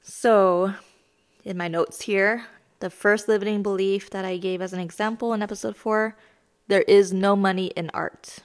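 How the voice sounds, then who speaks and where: A woman in her thirties hosting a self-help lesson podcast, her voice raspy as she gets over being sick, talking in a chatty, self-deprecating, explanatory way. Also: mid-range voice, fairly even intonation, moderate pace. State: raspy voice from recent illness. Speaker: podcast host.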